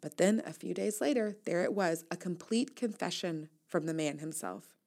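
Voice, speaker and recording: A woman's voice: fairly low pitch, moderate pace, creaky, a little mellow. A woman in her thirties reading a first-person essay aloud as host, candid and reflective, with wry asides. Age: 30s. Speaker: newsletter writer and host reading an audio essay.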